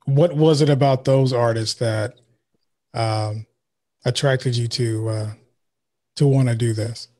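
A relaxed man hosts an interview podcast. He asks questions casually with plenty of filler sounds, speaking into a studio microphone. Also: medium pitch, takes it slowly, pauses often. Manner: relaxed, many filler ums. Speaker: podcast host. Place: home studio. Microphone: studio mic with headphones.

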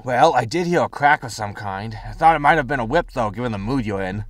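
A man's voice: medium pitch, lively intonation, fast talker, dry recording, natural voice. Man as a harried, wry spaceship captain. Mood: wry, uneasy. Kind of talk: science fiction comedy audio drama.

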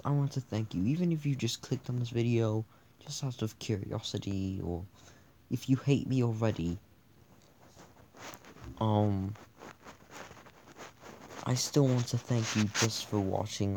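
A man's voice: mid-range voice, a little mellow, slight background noise, poor audio. A young man whose first language is Italian, hosting a gaming channel and rambling with jokes and restarts as he talks into a handheld mic. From born in Spain, raised in Italy, France, Britain and Germany. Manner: rambling, joking, self-deprecating, many restarts. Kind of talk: casual thank-you vlog over gameplay. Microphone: handheld mic over screen capture.

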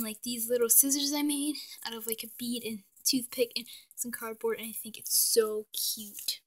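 A woman casually showing her doll house at home, chatty with lots of fillers, sometimes sinking to a whisper, recorded on a handheld phone. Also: high voice. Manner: chatty, many "like" fillers, drops to soft whispers at times. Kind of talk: casual show-and-tell narration. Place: indoors at home, near the dollhouse.

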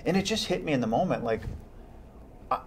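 A young man, a police officer guest on a local TV talk show, talking in an animated, casual way in a studio on a lapel mic. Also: mid-range voice, fairly quick, a little hiss or hum. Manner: animated, gestures, repeats words. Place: TV studio, indoors.

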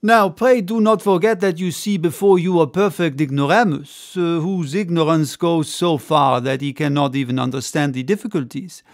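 Man voicing a confident, higher-pitched adventurer orator. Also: moderate pace, hardly any pauses, natural voice. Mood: emphatic, persuasive. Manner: oratorical, emphatic. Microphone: close studio microphone on desk.

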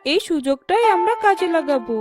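A woman playing a cruel, scheming stepmother in a children's cartoon, with a sharp, domineering manner. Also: very high-pitched, animated, a little fast, over music.

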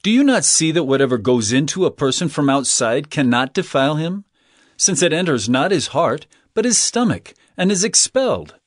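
Casting: an authoritative, earnest holy teacher in Bible drama, animated pitch, slightly rough husky tone. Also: male voice, moderate pace, no room sound, clean studio sound. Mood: stern teaching. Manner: earnest, declarative.

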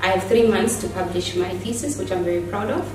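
A woman's voice: fairly low pitch, fast talker. A young adult woman from Namibia, a graduate giving a prepared testimonial to camera. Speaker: master's programme graduate. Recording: echoey room, distant mic, music behind.

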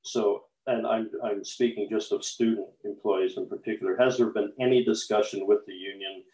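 A man's voice: lowish voice, fairly even intonation, normal speed, a little mellow. A man on a council video call, asking questions with many fillers and restarts. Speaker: city councillor. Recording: echoey distant lo-fi video call.

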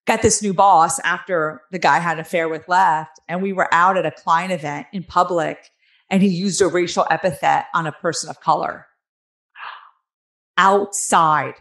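A woman in her forties with a raspy, nasal New Jersey accent, telling her own story candidly and emphatically as an interview guest on a video call. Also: lowish voice, steady tone, normal speed, a bit bright. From New Jersey, United States. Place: small room at home, on a video call. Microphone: headset on a video call.

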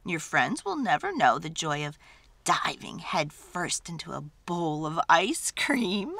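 A woman voicing a gentle, reassuring mother spoon in a storybook, soothing and sing-song. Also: sits low, very animated, takes it slowly, vocal fry, dry recording, exaggerated voice.

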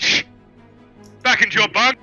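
A man's voice: very high-pitched, steady tone, moderate pace, pushed and bright, highs cut off, faint music behind, pitched above the performer's own voice, full character voice. Man putting on a bellowing, pompous army officer. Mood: outraged. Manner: pompous, barking. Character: furious bellowing male wartime army officer.

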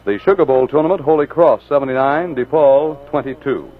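A man calling out a list of scores in a plain, matter-of-fact way at a middle pitch. The sound is thin and narrow, like an old broadcast coming over a phone line. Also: fairly quick. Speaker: station announcer. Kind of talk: reading sports scores on radio. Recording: tinny old radio transcription.

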